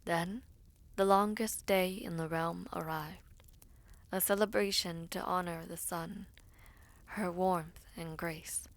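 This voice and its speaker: A woman playing a formal, composed villainess devoted to her prince, speaking in slow, breathy, measured phrases in a fantasy audio roleplay. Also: lowish voice, fairly even intonation, no echo. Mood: somber, reflective. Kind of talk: fantasy audio roleplay with first-person narration.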